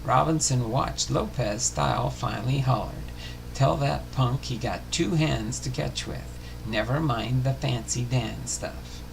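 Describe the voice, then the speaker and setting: A man reading a scripted sports history narration aloud, haltingly, often stumbling and correcting himself. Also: mid-range voice, vocal fry, noisy background. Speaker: host of a sports history show. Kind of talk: scripted narration read aloud, baseball biography.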